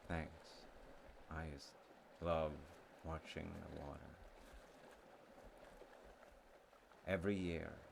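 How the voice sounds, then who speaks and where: A man playing a sweet, slightly slow, sentimental childhood friend in an intimate audio roleplay, speaking gently and haltingly, with trailing pauses. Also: sits low, gravelly, a little hiss or hum, full character voice. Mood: gentle, nostalgic.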